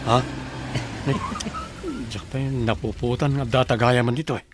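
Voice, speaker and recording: A man's voice: medium pitch, wildly swooping pitch, unhurried. A man with an Ilocano accent playing a scheming boss who talks casually and insinuatingly in a comedy radio drama. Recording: very noisy outdoor car ambience.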